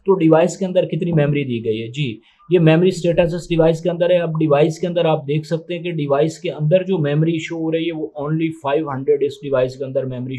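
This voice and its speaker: A man's voice: on the high side, a little mellow. A man doing an unscripted gadget unboxing and review, explaining step by step in a methodical, matter-of-fact way. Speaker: tech reviewer presenting a phone unboxing. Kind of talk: unscripted step-by-step product demonstration.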